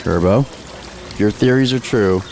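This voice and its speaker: A man playing a steady, protective neighbor who takes charge in a radio drama, firm and level-headed. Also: on the low side, moderate pace, close to an everyday voice. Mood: calm, pointed.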